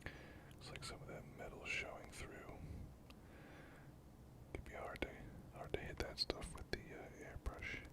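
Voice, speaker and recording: A hobby host speaking in a whisper, unhurried and thinking aloud in an ASMR painting commentary.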